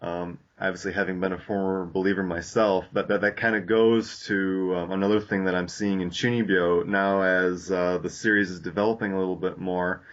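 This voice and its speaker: A young man hosting a casual podcast discussion, talking haltingly with many fillers and restarts. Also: low-pitched, flat delivery. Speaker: podcast host. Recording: lo-fi dull audio.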